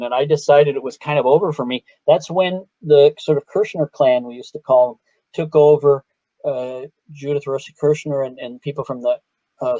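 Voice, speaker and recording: A man's voice: mid-range voice, dark tone, no echo, dull audio. A man with a Chicago accent, a guest artist telling long stories in a casual interview over a video call, often breaking off his own sentences. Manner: rambling, self-interrupting storyteller.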